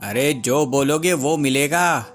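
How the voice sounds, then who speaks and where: A man's voice: medium pitch, slow, faint music behind, natural voice. A man voicing an envious, scheming crow who sells drinks in a cartoon, grumbling and boastful. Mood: hawking.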